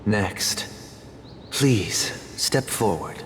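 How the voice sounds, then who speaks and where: A composed, priestly young leader from a video game. His lowish voice moves slowly and formally, ringing through a long reverb over a faint rumble. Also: expressive. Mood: gravely reassuring. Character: composed, solemn young male religious leader hearing confessions. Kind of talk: formal scripted game dialogue.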